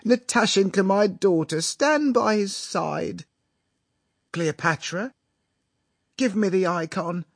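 A man playing an excitable scheming father in a story reading, declaiming pompously with drawn-out exclamations. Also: very high voice, vocal fry, dry recording, studio quality, voice pushed up, exaggerated voice. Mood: tearful, solemn.

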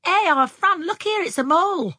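A woman voicing a brisk, blunt and curious young country girl in colloquial, exclamatory audiobook dialogue. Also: mid-range voice, very animated, breathy edge, no room sound. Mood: excited.

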